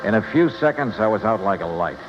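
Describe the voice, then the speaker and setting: A man's voice: on the low side, expressive, fairly quick, natural voice. An actor playing a tough, dogged male investigator with clipped, slangy talk in a 1950s radio detective drama. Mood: brooding narration. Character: tough, dogged male insurance investigator narrating his case. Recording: muffled, noisy old radio recording.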